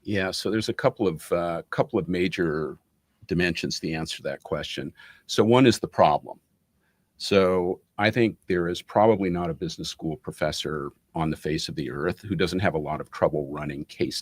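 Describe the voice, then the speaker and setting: A man's voice: low-pitched, normal speed, breathy edge, no echo, clean studio sound. A man giving explanatory interview answers in a methodical, professorial way. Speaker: interview guest, business school academic.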